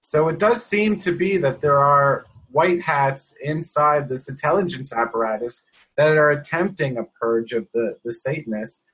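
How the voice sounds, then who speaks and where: A man's voice: on the high side, normal speed, fairly bright tone, breathy edge, low-quality recording. A man chatting casually in a wry, rambling way. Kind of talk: casual conversation.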